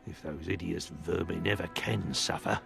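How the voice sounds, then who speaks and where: A man playing a nervous, vindictive shopkeeper with a halting, theatrical delivery in a video game. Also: low-pitched, creaky, over music. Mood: gleefully vengeful. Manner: halting, theatrical, drawn-out words. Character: nervous, vindictive, beetle-phobic male shopkeeper. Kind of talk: video game cutscene dialogue.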